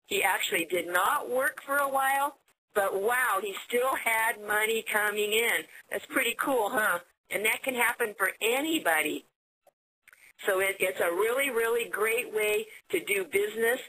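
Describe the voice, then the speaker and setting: An American woman hosting a business conference call, giving an upbeat sales pitch and often repeating words as she goes. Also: moderate pace, sharp tone, slight background noise, low-quality recording. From United States.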